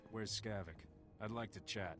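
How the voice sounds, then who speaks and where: An actor voicing a wry, roguish smuggler hero in a video game, curt and self-interested. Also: male voice, lowish voice, moderate pace, over music, lightly acted. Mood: wry, cocky.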